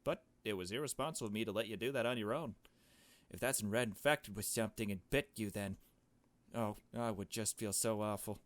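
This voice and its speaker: A man voicing a kindly, fretful, apologetic man in a visual novel game, with a gently worrying manner. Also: fairly low pitch, brisk, no echo, natural voice. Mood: concerned.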